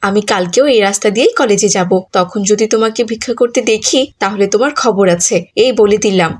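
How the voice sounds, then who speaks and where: A woman's voice: mid-range voice, fast talker, husky, lightly acted. A woman playing a stern young college student in a cartoon, scolding and admonishing. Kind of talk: cartoon voice acting.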